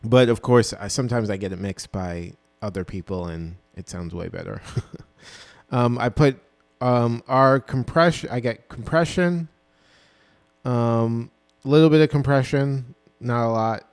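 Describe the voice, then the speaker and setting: A man in his twenties with a low voice, casually walking through his own song mix with plenty of ums and self-corrections, recorded on a dynamic mic in a small home studio. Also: unhurried. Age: 20s. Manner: relaxed, rambling, full of ums and self-corrections. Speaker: music producer. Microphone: dynamic broadcast mic.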